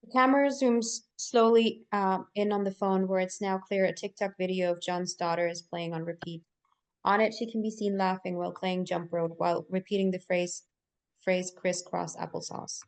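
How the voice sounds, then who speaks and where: A woman's voice: on the low side, steady tone, moderate pace, rough recording. A woman hosting a table read and narrating its screenplay directions. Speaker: stage-direction narrator and host. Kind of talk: scripted narration.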